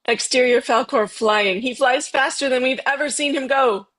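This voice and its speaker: A woman's voice: high-pitched, moderate pace, fairly bright tone. A woman narrating a screenplay's stage directions in a scripted table read.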